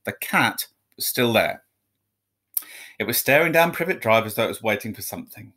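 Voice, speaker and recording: A man reading a children's story aloud like a teacher, in expressive narration. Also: lowish voice, moderate pace.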